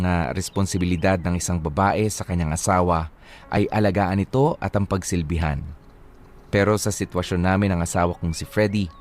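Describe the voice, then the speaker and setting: A man narrating an unhappy wife's letter for a radio drama in a measured, earnest way. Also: sits low, a little mellow, lightly acted.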